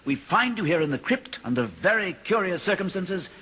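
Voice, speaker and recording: Commanding gothic gentleman, swooping delivery. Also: sits high, very animated, moderate pace, husky, full character voice. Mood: stern. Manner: formal, theatrical, stern. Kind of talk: old-time radio drama acting.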